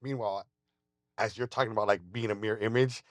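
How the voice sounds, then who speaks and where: A man's voice: lowish voice, fairly even intonation, fast talker, dry recording. A man hosting a podcast, talking candidly and reflecting on himself, often restarting his words. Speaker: podcast host. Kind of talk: casual conversation.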